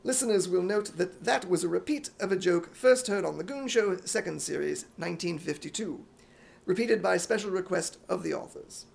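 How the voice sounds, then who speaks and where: A man playing a staid, formal 1950s radio announcer with a British accent, speaking deadpan. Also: high-pitched, moderate pace, creaky, pitched below the performer's own voice. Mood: dry, formal. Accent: imitated British.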